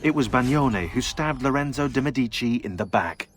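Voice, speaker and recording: An actor playing a wry briefer in a video game, narrating villain profiles in a dry, informative manner. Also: male voice, mid-range voice, expressive, normal speed, close to an everyday voice. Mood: wry briefing. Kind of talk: scripted character briefing narration.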